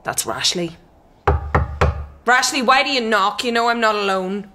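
A woman's voice: on the high side, animated, unhurried. Proud young Irishwoman, noisy background. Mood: proud, wistful. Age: young adult. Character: proud, guarded young orphan woman, Irish republican's daughter. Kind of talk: radio drama acting.

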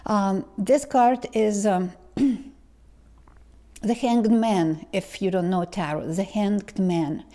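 A woman, talking slowly to camera as a tarot reader, pausing and restarting often. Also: mid-range voice, dark tone. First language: Ukrainian or Russian. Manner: slow, halting, many restarts and ums. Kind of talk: casual vlog talk to camera. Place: living room indoors. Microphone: lapel mic.

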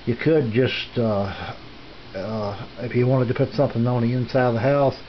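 Man, medium pitch, hesitant and rambling, folksy hobbyist narration, casual, handheld camera mic, muffled lo-fi sound. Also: steady tone, normal speed. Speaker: amateur radio hobbyist presenting his equipment. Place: small indoor home radio room. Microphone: handheld camera's built-in mic, behind the lens. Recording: dull lo-fi camera audio, noisy room.

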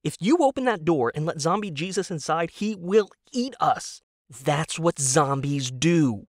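A man's voice: fairly high pitch, animated, measured pace, no echo, studio quality, voice pushed up. A man voicing an exasperated, scornful young wife in an audio drama, with a biting, emphatic and incredulous delivery.

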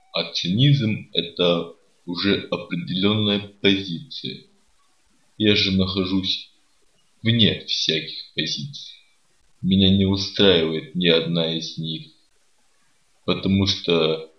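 A man playing a dour, contrary nihilist guest in a comedy radio sketch, sardonic and deadpan. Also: sits low. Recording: roomy, dull lo-fi sound.

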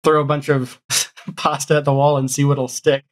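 Male podcast guest, medium-pitched voice, informal and meandering, checking in with the listener as he goes. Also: flat delivery, moderate pace. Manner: rambling, thinks aloud, often ends on "right?". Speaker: guest, audio drama showrunner. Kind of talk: casual chat. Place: home room, video call.

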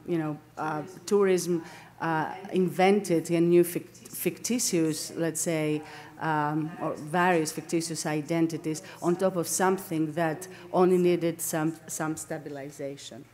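A middle-aged woman with a Greek accent lectures at an academic seminar. Her voice sits a little low, and she speaks haltingly, often searching for the right word and correcting herself as she explains. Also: fairly even intonation, a little mellow. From Greece. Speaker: academic lecturer, landscape architect. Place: indoor lecture room, at a lectern.

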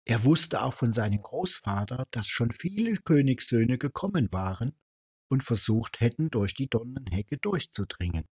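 A man's voice: medium pitch, big pitch swings, normal speed, darkish tone, breathy edge, no echo, clean studio sound. A man reading a fairy tale aloud as a storyteller.

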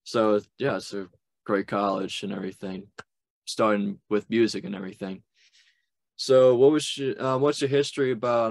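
A man, hosting a casual interview in an informal, halting way. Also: lowish voice, gravelly, no echo. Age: 20s. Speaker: webcast host, interviewer. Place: plain indoor room. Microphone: video call.

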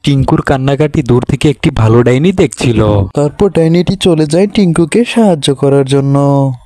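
High-pitched man narrating children's stories. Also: moderate pace, dark tone, no echo. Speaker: cartoon story narrator. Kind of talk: scripted children's story narration.

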